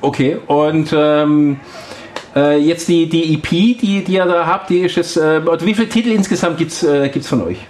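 A man hosts a casual radio interview, his voice sitting a bit high. He talks haltingly, stopping often for fillers as he works out his questions. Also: darkish tone, a little hiss or hum. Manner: hesitant, many fillers. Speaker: radio host.

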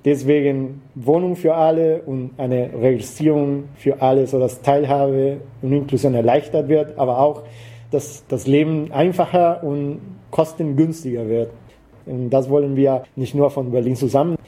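A man from Costa Rica, a native Spanish speaker with a Latin American accent, answering interview questions as an activist in a halting way, searching for words. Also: mid-range voice, steady tone, warm tone.

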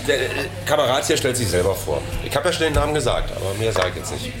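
A man's voice: mid-range voice, sing-song, fast talker, over music. A middle-aged man hosting a casual, chatty interview show. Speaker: show host. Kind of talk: casual bar interview and chat. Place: inside a bar. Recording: loud clattering bar noise.

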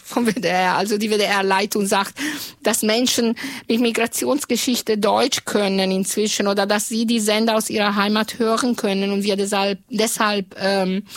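A woman's voice: medium pitch, dry recording. Earnest woman, dry sound. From Italy. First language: Italian. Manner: earnest, reflective, pauses often to search for words. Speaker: radio journalist and guest. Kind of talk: interview answers.

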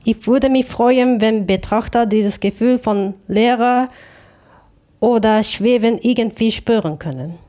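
Japanese woman w accent answering interview questions, mellow tone, thoughtful, stops and restarts words. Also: fairly high pitch. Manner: thoughtful, halting with self-corrections. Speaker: interview guest, artist.

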